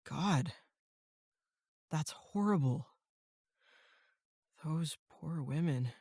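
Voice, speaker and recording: A woman acting in an audio drama as a sensible, sardonic young camp counselor with a measured, deadpan delivery. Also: low voice, husky, no echo, studio quality, full character voice. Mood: sober.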